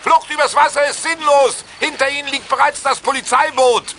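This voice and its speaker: An actor playing a commanding but kindly police officer in a children's radio play. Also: male voice, very high-pitched, steady tone, moderate pace, sharp tone, slight background noise, full character voice. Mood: barking orders. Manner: authoritative, official.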